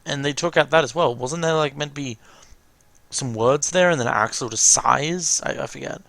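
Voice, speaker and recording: A man's voice: mid-range voice, normal speed, vocal fry, a bit bright, no room sound. A man delivers casual reaction commentary for a watch-along. He is chatty and fannish, talking informally as a commentator.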